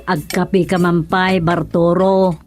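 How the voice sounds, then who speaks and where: A woman's voice: on the low side, flat delivery, a little slow, darkish tone, soft background music, close to an everyday voice. An actress playing a patient, motherly village woman in a radio comedy drama. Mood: patient, coaxing.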